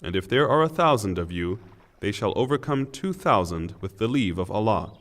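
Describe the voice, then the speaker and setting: A man reading a scripture translation from a script in a measured, formal and solemn way. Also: fairly low pitch, animated, fairly bright tone. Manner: measured, formal delivery in short phrases. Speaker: reader of English scripture translation.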